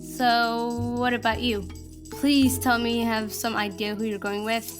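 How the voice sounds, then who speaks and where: Young woman acting pushy teenage girl best friend, high voice, encouraging, audio drama, music behind. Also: level tone, a little slow, lightly acted. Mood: eager, nosy.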